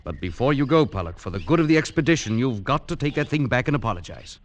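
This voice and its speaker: An actor playing a stern, exasperated English expedition leader in a radio drama, with an urgent, scolding, emphatic manner. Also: male voice, mid-range voice, animated, fast, natural voice. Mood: grave, insistent. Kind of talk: old-time radio drama acting. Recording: noisy vintage radio drama recording.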